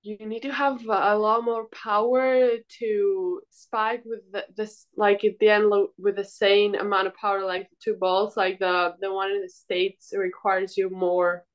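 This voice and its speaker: A woman's voice: medium pitch, level tone, unhurried, few pauses, lo-fi sound. A young woman from Greece, a volleyball player answering interview questions in a casual, hesitant, informal way. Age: young adult.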